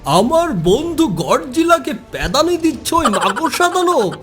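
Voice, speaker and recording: A giant cartoon monster played by a man, boastful and easily offended. The voice is strikingly high for a man and swings widely as it blusters, with music behind. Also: sing-song, unhurried, heavily acted. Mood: puzzled. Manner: blustering, suspicious challenges. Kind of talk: children's cartoon dialogue.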